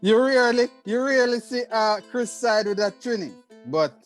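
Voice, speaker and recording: A man's voice: very high voice, expressive, normal speed, soft background music. A man on a casual panel chat giving earnest final thoughts, urging people to vote with small jokes.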